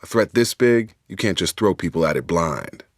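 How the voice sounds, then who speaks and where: An actor playing a loyal, earnest young space soldier in a video game, with a straight-talking military manner. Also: male voice, low-pitched, lively intonation, normal speed, dry recording, clean studio sound. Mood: steady, serious. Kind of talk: video game character dialogue.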